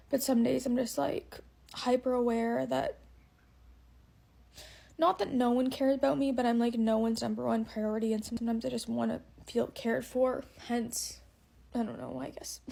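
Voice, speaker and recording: A woman speaks softly and hesitantly in a confessional vlog, filling pauses often. Her slightly high voice is thick with vocal fry. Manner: soft, hesitant, full of fillers.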